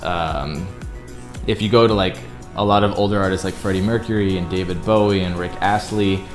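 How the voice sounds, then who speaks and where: Young man, very low baritone, chatty and earnest, roomy echo, faint music behind. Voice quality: very deep baritone voice. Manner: chatty, earnest, many "like" fillers. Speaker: YouTuber and singer. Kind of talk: casual vlog talk to viewers.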